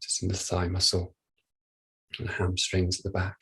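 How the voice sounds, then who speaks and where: Male meditation teacher, husky and low, flat and gentle delivery, unhurried guided practice. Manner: unhurried, gentle, with long pauses. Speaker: meditation teacher leading a body scan. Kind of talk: guided meditation, soft instruction.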